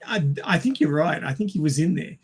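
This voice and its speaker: A man's voice: a little high, expressive, brisk, gravelly, breathy edge. A man in a casual panel discussion, explaining technical things step by step. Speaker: podcast panelist.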